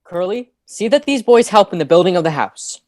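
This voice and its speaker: A woman's voice: on the low side, animated, brisk, a little mellow, no echo, clean studio sound, close to an everyday voice. A woman playing a proud, commanding boy leader in an audio play. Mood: bossy, eager.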